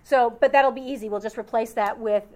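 Woman, conference presenter, upbeat, explanatory, fairly high voice. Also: fairly even intonation, brisk, a little mellow. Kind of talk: answering audience questions.

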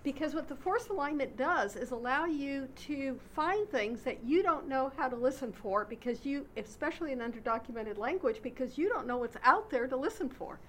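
High-voiced woman giving an academic talk. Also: expressive, moderate pace, hardly any pauses, a bit bright, slight background noise. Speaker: conference presenter. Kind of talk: academic presentation.

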